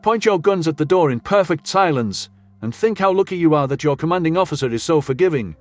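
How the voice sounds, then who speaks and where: A man's voice: a little high, expressive, brisk, voice pushed up, natural voice. A man voicing a brash, indignant male commanding officer with blunt, slangy, sarcastic speech in a sci-fi audio story. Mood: exasperated.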